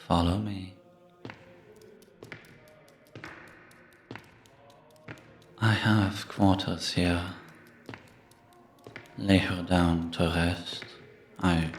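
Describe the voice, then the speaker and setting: Man playing a slow, deep ghostly old priest. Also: steady tone, faint music behind. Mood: solemn, welcoming. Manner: slow, measured, solemn. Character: ghostly old priest, serene, curious, gently probing. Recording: echoey stone-room reverb.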